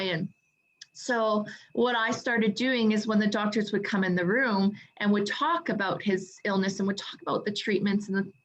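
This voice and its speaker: A woman's voice: on the high side, lo-fi sound. A woman guest talking casually about her experience, earnest and full of restarts as she tells her story. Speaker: co-author guest. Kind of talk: casual video call chat.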